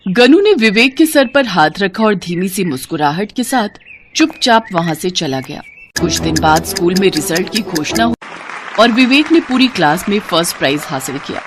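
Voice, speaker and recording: Lively woman narrating a moral story. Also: medium pitch, moderate pace, a faint crowd, a little hiss or hum.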